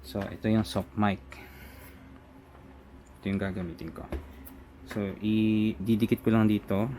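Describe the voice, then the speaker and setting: A man's voice: on the low side, flat delivery, a little slow, warm tone, a little hiss or hum. A Filipino man casually talking through a hands-on product installation step by step, as a reviewer. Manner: informal, step-by-step, narrating own hands-on work. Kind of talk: casual unboxing and installation walkthrough. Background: music.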